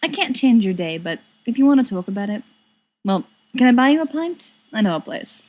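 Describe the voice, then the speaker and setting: A woman's voice: fairly high pitch, very animated, a little fast, darkish tone, voice pushed up. A woman voicing a friendly, chatty young man in a storybook reading, cheerful and coaxing.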